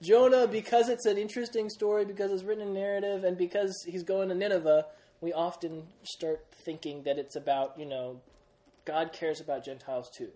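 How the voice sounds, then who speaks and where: Lively young man teaching a Bible class. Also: sits high, brisk. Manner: energetic, many restarts, quizzes the group. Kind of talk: classroom Bible lesson with questions.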